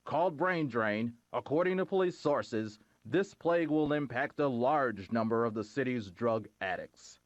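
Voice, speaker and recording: A formal TV news anchor , played by a man, reads bulletins with crisp, measured delivery. The sound is dry and slightly dull. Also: mid-range voice, lively intonation, no room sound, close to an everyday voice.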